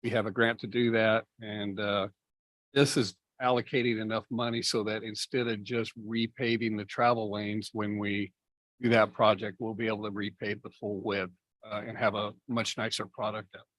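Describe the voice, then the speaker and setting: A city staff member explaining budget items at a public meeting, measured and explanatory, with many fillers. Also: male voice, lowish voice, level tone, a bit bright, no room sound. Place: meeting room.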